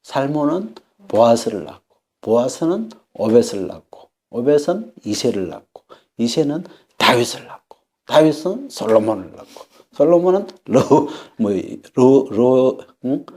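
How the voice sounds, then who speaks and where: A man in his fifties preaching a Sunday sermon, halting and repeating words, then rising sharply for emphasis. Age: 50s. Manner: animated preaching, pitch swinging up for emphasis and dropping low. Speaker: pastor.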